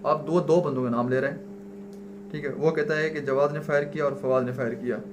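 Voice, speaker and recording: A man's voice: a little high, steady tone, moderate pace. Pakistani man lecturing over a noisy hum. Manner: didactic, asks questions and answers them himself. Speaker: law lecturer. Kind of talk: informal explanatory lecture mixing English legal terms. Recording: noisy recording with clear hum.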